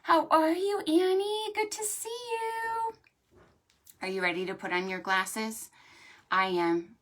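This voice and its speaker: A woman hosting a storytime for preschoolers, talking to small children in an animated, sing-song way. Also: sits high, slow pace. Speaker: children's librarian and storytime host. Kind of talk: preschool storytime with stretches and songs.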